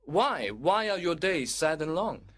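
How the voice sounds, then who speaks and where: A man's voice: fairly high pitch, sing-song, a little slow, fairly bright tone, exaggerated voice. A man playing a loyal, concerned young cousin in a storybook, with an earnest, inquisitive manner. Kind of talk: storybook dramatization.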